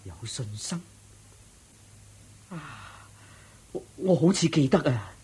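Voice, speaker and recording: An actor with a Hong Kong Cantonese accent playing a guilt-ridden, weak-willed village husband in a radio drama, sighing and pleading anxiously. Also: male voice, fairly high pitch, big pitch swings, takes it slowly, mellow, slight background noise. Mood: confused, distraught.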